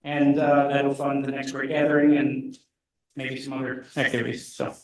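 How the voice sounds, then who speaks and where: A man giving a treasurer's report at a neighborhood meeting, plain-spoken and halting with fillers. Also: medium pitch, completely flat delivery. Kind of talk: casual financial report at a meeting. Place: echoey community meeting room. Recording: very echoey room, voice far from the mic.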